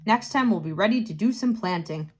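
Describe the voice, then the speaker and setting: A woman's voice: mid-range voice, expressive, fairly quick, husky. A woman voicing a kindly, patient old grandfather in a storybook read-aloud. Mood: gentle, patient.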